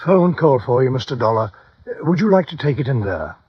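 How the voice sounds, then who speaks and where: Courteous, anxious household servant, halting and deferential, for a 1950s radio play with muffled sound. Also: male voice, mid-range voice, sing-song, husky, no room sound. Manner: deferential, hesitant. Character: polite, hesitant manservant, deferential and worried. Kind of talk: 1950s radio drama acting. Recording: muffled vintage radio recording, dry.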